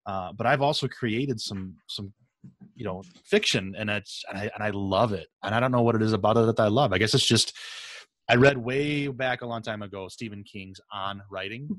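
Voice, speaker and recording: A man's voice: fairly low pitch, moderate pace, fairly bright tone, no echo. A man hosting a podcast interview in a casual, conversational style. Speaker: podcast host.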